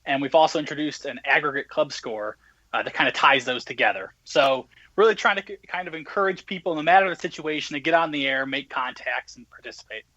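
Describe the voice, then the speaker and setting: Bright higher-voiced young man, lo-fi audio. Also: fairly quick, no echo. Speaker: guest, event organizer. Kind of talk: conversational interview explanation.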